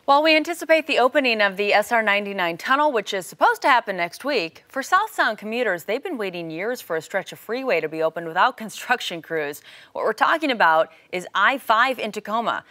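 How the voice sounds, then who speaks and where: A woman's voice: medium pitch, animated, brisk, bright, forceful tone, no room sound. An American woman anchoring a morning TV newscast, reading scripted news.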